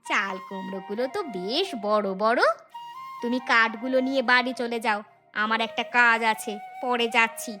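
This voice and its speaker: A woman's voice: a little high, moderate pace, close to an everyday voice. A woman voicing a greedy, bossy, scheming village wife in a cartoon folk tale. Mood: gloating, scheming. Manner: bossy, scolding. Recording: clear music bed. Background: music.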